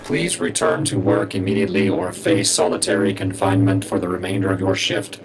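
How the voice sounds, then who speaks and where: A man voicing a cold, menacing robot warden in a science fiction audio drama, with a deep buzzing voice and flat, officious announcements. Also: fairly quick, low-quality recording. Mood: commanding.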